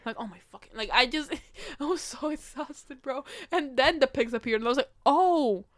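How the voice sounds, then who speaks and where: A woman's voice: high-pitched, normal speed, no echo. A young woman co-hosting a podcast, chatting casually about a film in blunt, profane slang. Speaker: podcast co-host. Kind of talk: casual movie review chat.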